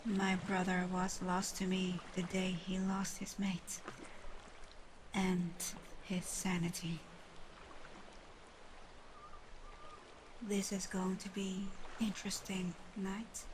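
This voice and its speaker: Woman playing a proud but softening water dragon girl, asmr roleplay, slow n soft, teasing, noisy ambience behind her. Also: medium pitch, level tone, lightly acted. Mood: warm, reflective. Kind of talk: ASMR roleplay, scripted character monologue. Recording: clear background ambience noise.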